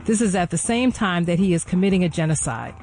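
A male radio announcer giving a measured, urgent scripted news read. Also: high-pitched, animated, darkish tone, soft background music.

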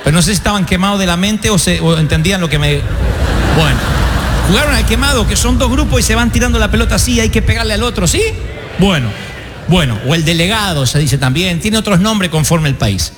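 A man with an Argentine accent, a pastor preaching a sermon with self-mocking stories and slow, emphatic stresses on key words. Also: high-pitched, lively intonation, in a large hall, background noise. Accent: Argentine Spanish. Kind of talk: sermon with humorous anecdotes.

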